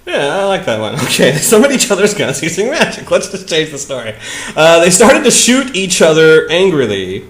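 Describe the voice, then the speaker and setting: A man doing a comedic dramatic reading of bad fan fiction, with deadpan asides and casual, giggly banter. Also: on the high side, moderate pace, roomy sound.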